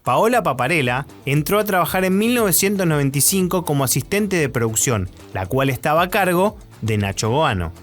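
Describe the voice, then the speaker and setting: A man's voice: fairly high pitch, big pitch swings, brisk. An Argentine man presenting a radio documentary in scripted narration. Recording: music under the voice.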